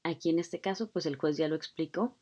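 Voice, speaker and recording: Woman lecturer, deep for a woman, conversational explainer with fillers. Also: a little fast, darkish tone. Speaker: law lecturer. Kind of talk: explaining a court hearing video.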